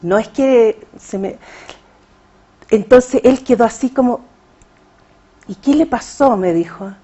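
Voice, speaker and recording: A woman's voice: fairly high pitch, slow, warm tone, slightly rough, dull audio. A Chilean woman, a poet, answering interview questions in a hesitant conversational way with frequent fillers. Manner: hesitant, many fillers and restarts. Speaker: poet and writer, interview guest.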